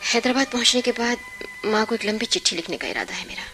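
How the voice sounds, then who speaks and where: An actress in a radio play voicing a resolute, sharp-tongued young working daughter with a firm, outspoken manner. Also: female voice, a little high, sing-song, quick pace, over music. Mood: calm, resolute. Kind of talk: Hindi radio play acting.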